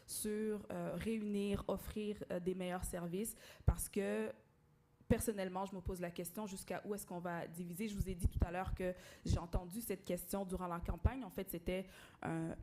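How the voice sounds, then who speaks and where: Woman mayor, halting council replies, light hiss. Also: on the low side, steady tone, dark tone. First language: French. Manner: halting, restarts often. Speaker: borough mayor. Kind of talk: council meeting answer.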